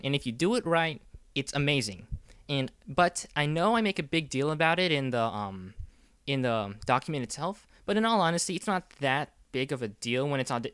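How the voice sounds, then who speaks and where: A man's voice: on the high side, a bit bright, smooth, no echo, clean studio sound. A man explaining instructions casually as a project director, informal, rambling and encouraging. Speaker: director of a fan dub project giving audition instructions. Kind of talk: casual semi-scripted explainer.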